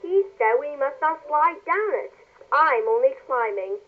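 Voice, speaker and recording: A woman reading a storybook aloud as a defiant, excitable little girl with an insistent manner. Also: sits high, a little slow, voice pushed up. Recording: muffled phone-like line, music behind.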